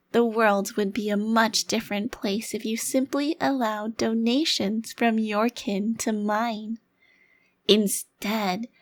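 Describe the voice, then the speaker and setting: Woman voicing a youthful vampire crime boss, higher-pitched, unhurried, condescending, theatrical, ASMR roleplay. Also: no room sound. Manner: theatrical, condescending, formal wording with drawn-out emphasis. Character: short, youthful-looking immortal vampire crime lord, smug and taunting. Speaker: audio roleplay performer.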